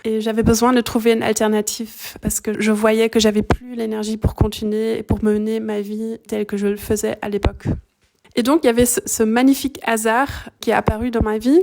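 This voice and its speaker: A middle-aged woman from Belgium, a native German speaker, telling her story calmly and thoughtfully in a podcast interview. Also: a little high. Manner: calm, thoughtful, searching for words. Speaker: guest, shiatsu practitioner.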